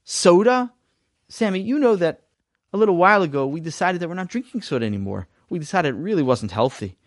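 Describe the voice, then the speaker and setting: A man voicing a calm, weary father who sighs and stays patient. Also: sits high, a little fast, dry recording, clean studio sound, voice pushed up.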